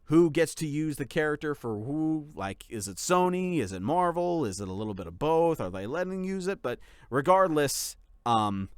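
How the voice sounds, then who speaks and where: A man's voice: on the high side, very animated, moderate pace, few pauses, clean tone, no room sound, studio quality. A man talking casually and unscripted to his followers as a content creator, rambling and often restarting his sentences. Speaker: channel creator and voice actor giving a series update.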